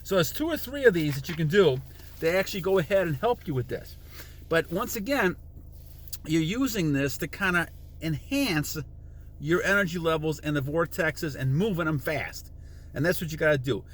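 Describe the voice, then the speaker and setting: A man in his sixties hosting a casual self-help talk, rambling and repeating himself, reading passages from a book and humming chants to demonstrate. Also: fairly high pitch. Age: 60s. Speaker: channel host.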